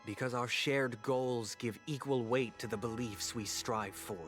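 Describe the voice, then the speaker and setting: An actor playing a smug, grandiose video game villain who speaks in formal, theatrical oratory. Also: male voice, mid-range voice, fairly even intonation, measured pace, close to an everyday voice. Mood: solemn, composed. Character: smug, grandiose male villain preaching order and paradise. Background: background game music.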